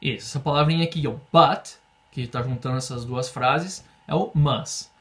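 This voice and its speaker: A young man from Brazil teaching an English lesson in Portuguese, explaining patiently and having students repeat phrases slowly. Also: mid-range voice, fairly bright tone. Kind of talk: online language lesson.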